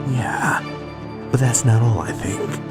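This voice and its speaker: A man voicing a stern, battle-hardened soldier with a gravelly growl and terse delivery, as in a video game dub. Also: mid-range voice, slow pace, voice pushed down. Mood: probing, grim. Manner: terse, interrogating, deadpan. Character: gruff, stern, battle-hardened male soldier, a lone operative. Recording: loud music underneath. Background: loud music.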